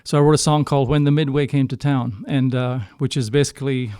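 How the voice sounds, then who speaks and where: A middle-aged man from Canada telling a story casually as a guest, halting and often circling back to rephrase. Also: mid-range voice, level tone, mellow, clear, resonant tone, no room sound, studio quality. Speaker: singer-songwriter guest. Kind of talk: casual storytelling in a podcast interview.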